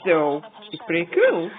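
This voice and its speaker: Slow, halting woman on tinny audio. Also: fairly low pitch. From Ukraine. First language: not English. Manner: hesitant, halting with frequent pauses. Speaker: vlogger. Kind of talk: casual screen-recording commentary.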